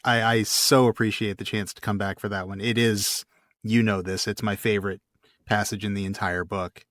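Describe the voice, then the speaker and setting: A man chats casually about a book as a podcast co-host. His voice sits a little low and he sounds earnest and reflective. The recording is dry. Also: level tone, moderate pace, a bit bright, dry recording.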